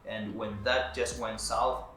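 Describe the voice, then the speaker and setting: A man's voice: fairly low pitch, level tone, slow pace, noisy background. Swahili-speaking man giving earnest advice. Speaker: videographer and business owner. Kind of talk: talking-head advice vlog. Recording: roomy echo with faint background music.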